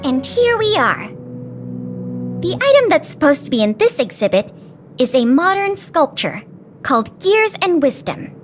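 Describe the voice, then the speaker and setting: A woman's voice: high-pitched, big pitch swings, measured pace, faint music behind. A woman voicing an earnest, dutiful young female officer in an anime-style video game, speaking articulately and in a businesslike, polite way. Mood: explanatory, earnest.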